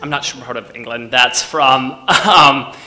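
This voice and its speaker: A man's voice: medium pitch, normal speed, bright, forceful tone. A man from Texas telling a wry, self-deprecating story to a live audience, chatty and loose, with plenty of ums. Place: museum event in front of an audience.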